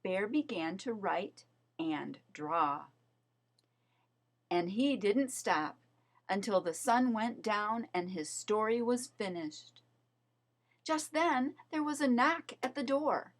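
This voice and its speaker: A woman reading a children's story aloud as narrator, in an expressive, measured storytelling manner. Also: medium pitch. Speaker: storybook reader.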